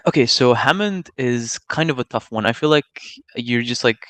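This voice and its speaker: A man's voice: medium pitch, normal speed, a bit bright, no echo. A nineteen-year-old man from Serbia, chatting casually as an interviewed gamer, slangy and opinionated as he explains at length. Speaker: gamer and streamer being interviewed.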